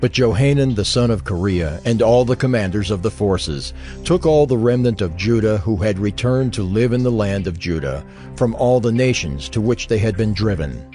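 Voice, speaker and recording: A male narrator tells a dramatized Bible story. His voice sits low, and he reads in a formal, measured way while music plays underneath. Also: expressive, dark tone.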